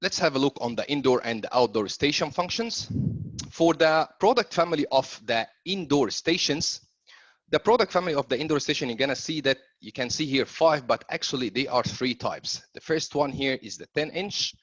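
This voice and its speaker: A man's voice: medium pitch, lively intonation, normal speed, a bit bright, no echo. A man who speaks as a presents product training in an explanatory way, often stalling on ums and uhs. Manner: hesitant, frequent long ums and uhs mid-sentence. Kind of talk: explanatory slide presentation, loosely scripted. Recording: dull, lo-fi webinar audio.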